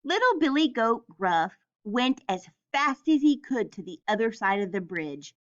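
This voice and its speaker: A woman with a fairly high voice narrates a children's storybook. She reads slowly and deliberately, with expression, on a slightly dull recording. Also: big pitch swings. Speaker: storyteller reading aloud.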